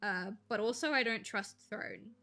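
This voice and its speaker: A young woman, chatting casually and rambling to viewers on a live stream, with a slight gravel in her voice when she talks quietly. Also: fairly high pitch, measured pace. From Australia. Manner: chatty, rambling, laughs easily, thinks aloud.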